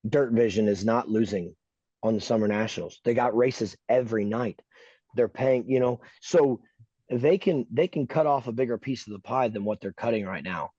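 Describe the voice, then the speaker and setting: A man's voice: mid-range voice, warm tone, no room sound, highs cut off. A man co-hosting a podcast, talking casually and bluntly, ranting with emphasis and frequent swearing. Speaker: podcast co-host.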